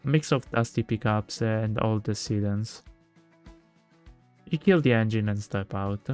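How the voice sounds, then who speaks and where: Smooth-voiced Italian man reading haltingly. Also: on the low side, a little mellow, soft background music. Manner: halting reading, full of fillers and restarts. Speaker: streamer. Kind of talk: reading a visual novel aloud and chatting with viewers.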